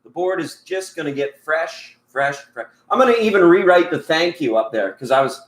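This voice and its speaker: A man's voice: fairly high pitch, expressive, moderate pace. A Canadian man hosting a live tarot stream, chatting in a rambling, upbeat way and thanking viewers by name. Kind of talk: casual live-stream chat.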